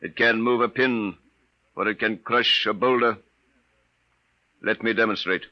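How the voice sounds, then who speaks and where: A man playing a cold, formal Martian invader with measured, menacing politeness in 1950s radio drama style. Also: lowish voice, fairly even intonation, natural voice. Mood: coldly threatening. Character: cold, courteous male Martian invader and interrogator. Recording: muffled vintage 1950s radio recording.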